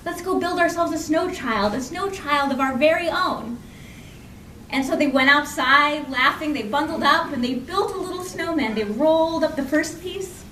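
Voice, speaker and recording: Recorded from a distance in a reverberant room, a woman tells a folk tale aloud to listeners. Her voice sits high, and she is expressive yet conversational, pausing and repeating phrases for effect. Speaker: storyteller. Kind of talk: live oral folk tale storytelling. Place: indoor library program before an audience. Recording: echoey room from far off, faint noise.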